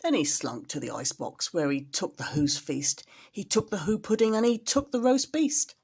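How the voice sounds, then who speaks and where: A woman's voice: mid-range voice, big pitch swings, normal speed, darkish tone, husky. A woman storyteller reading a children's storybook expressively, with dramatic emphasis on repeated words.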